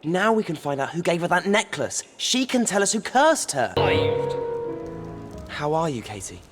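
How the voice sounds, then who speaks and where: Earnest, lively teenage wizard. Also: male voice, a little high, moderate pace, loud music behind the voice. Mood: eager. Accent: English. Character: earnest, determined teenage boy wizard hero.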